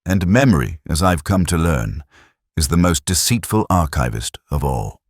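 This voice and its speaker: A man playing a weary, literate police inspector, narrating a detective drama in a measured, melancholy, literary way. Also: low voice, big pitch swings, no echo, studio quality, heavily acted. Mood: brooding, reflective. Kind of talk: scripted first-person detective narration.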